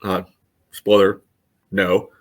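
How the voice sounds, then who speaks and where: A man co-hosting a casual sports podcast, dry and wry, reeling off stats at a steady clip. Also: sits low, slow pace. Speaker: podcast co-host.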